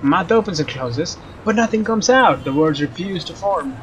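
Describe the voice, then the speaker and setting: A man's voice: high-pitched, animated, moderate pace, fairly bright tone, slight background noise. A young man doing a let's play, reading story text dramatically and commenting casually. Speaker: let's player. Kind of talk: dramatic read-aloud with casual gameplay commentary. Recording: slightly dull mic over game music. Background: faint game music.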